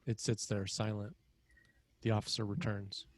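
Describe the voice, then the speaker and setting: A man reading screenplay action as narrator, in clipped, dramatic, measured phrases. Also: lowish voice, flat delivery, darkish tone, no room sound. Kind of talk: scripted screenplay narration, present tense.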